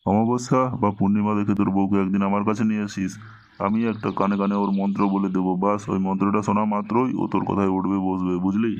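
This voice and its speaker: A man's voice: lowish voice, little pitch movement, fast talker, close to an everyday voice. A man voicing a domineering, scolding village tantric holy man in a Bengali comedy cartoon, with a preachy manner. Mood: stern, knowing. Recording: dull lo-fi, very dry cartoon dub.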